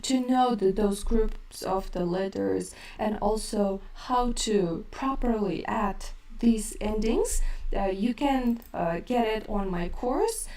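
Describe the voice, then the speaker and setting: Woman, kazakh accent, language teacher, slow hesitant delivery, roomy off-mic sound. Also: mid-range voice, animated, dark tone, recorded from a distance, a little hiss or hum. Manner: slow and hesitant, many fillers. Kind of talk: live-stream lesson answering chat.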